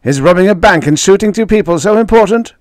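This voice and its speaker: A man's voice: high voice, expressive, quick pace, no room sound, studio quality, pitched above the performer's own voice. A man voicing a pushy newspaper reporter in an audiobook story, probing and insistent. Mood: pressing. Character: pressing, inquisitive newspaper reporters.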